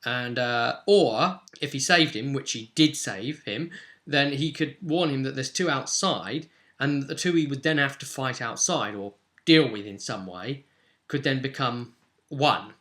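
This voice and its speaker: A man's voice: mid-range voice, unhurried, fairly bright tone. A man speaking as a game master, casually recapping and explaining a tabletop roleplay session in a conversational way.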